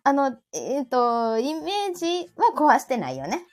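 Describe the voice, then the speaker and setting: A woman in her forties with a Kansai accent hosts a casual live chat in a high voice. Her intonation swings widely, and she comes across as playful and chatty. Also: huge pitch swings, unhurried, a little mellow. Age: 40s. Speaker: live audio show host.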